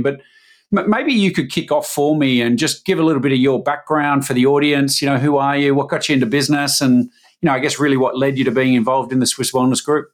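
Casual male podcast host. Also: medium pitch. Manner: casual, halting with fillers and restarts. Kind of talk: conversational interview.

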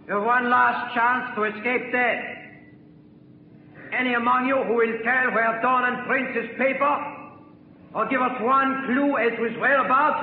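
An actor playing a ruthless, imperious Nazi occupation officer in a 1940s radio drama, threatening and theatrical. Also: male voice, very high voice. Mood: cold menace. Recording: tinny, noisy, echoing 1940s radio recording.

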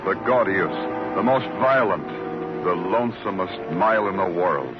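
A man's voice: sits low, lively intonation, measured pace. A world-weary city detective from a vintage radio crime show. He is dry, terse and broodingly poetic, heard on a lo-fi broadcast with music behind him. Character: world-weary, hardboiled male city police detective narrating. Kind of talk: 1940s-50s radio noir narration and acting. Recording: dull old radio recording, music behind.

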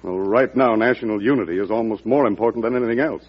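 An actor playing a genial, cautious senator in a classic radio drama, speaking in a tactful, hesitant and deferential way. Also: male voice, on the low side, quick pace, slightly rough. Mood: cautious, persuasive. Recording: muffled old radio recording.

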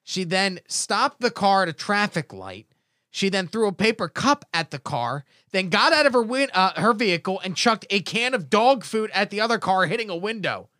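A man's voice: high-pitched, very animated, normal speed, sharp tone, no echo. 30s guy hosting a goofy chat show, reads news bits w jokes, tight dry mic. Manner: jokey, reads news stories aloud with asides. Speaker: podcast host. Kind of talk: casual comedic chat.

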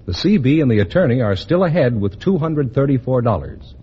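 A man's voice: medium pitch, sing-song, brisk, slightly rough. A man giving scripted announcements as a radio show announcer. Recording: muffled vintage radio.

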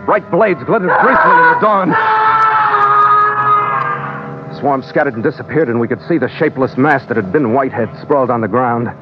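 An actor as an American sea captain, muffled vintage radio. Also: male voice, medium pitch, lively intonation, fast, natural voice. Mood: grim, tense. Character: easygoing adult male sea captain narrating his adventures. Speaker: lead actor and narrator. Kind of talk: old-time radio adventure acting and narration. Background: loud dramatic music.

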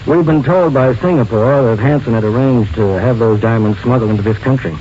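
An actor playing a calm, methodical police lieutenant in an old radio drama, measured and official, on a noisy recording. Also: male voice, mid-range voice, muffled recording, lightly acted. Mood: businesslike probing.

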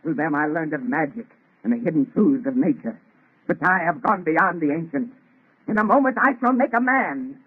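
An actor playing an arrogant, obsessed scientist in an old radio melodrama, declaiming theatrically in a husky voice. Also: male voice, fairly high pitch, animated, moderate pace. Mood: exultant, grandiose. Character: arrogant, feverishly obsessed scientist creator of a monster. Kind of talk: 1930s radio melodrama acting. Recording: tinny, band-limited old radio recording.

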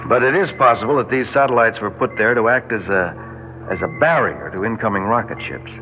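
Guy playing brisk wary military space captain, lowish voice, old radio drama, dull audio w music behind. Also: close to an everyday voice. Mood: wary, commanding. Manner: businesslike, military.

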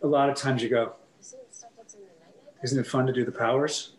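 A man co-hosting a casual podcast chat, telling animated stories and acting out the lines of the people in them. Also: mid-range voice, normal speed, roomy sound. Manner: animated storyteller who acts out quoted dialogue. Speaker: actor and podcast co-host.

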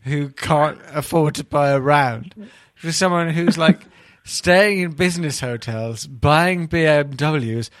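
A man's voice: on the high side, slow, hardly any pauses, no room sound. A man hosting a podcast in casual comic banter, teasing his co-hosts with mock bafflement. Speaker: podcast host.

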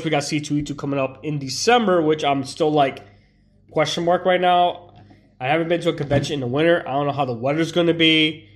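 A congested young man chatting loosely as a streamer, rambling with many fillers and restarts. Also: mid-range voice. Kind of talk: casual live-stream chat.

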